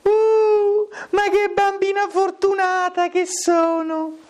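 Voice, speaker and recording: Man acting a naive little storybook girl, very high falsetto, gleeful, cooing exclamations. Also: flat delivery, slow pace.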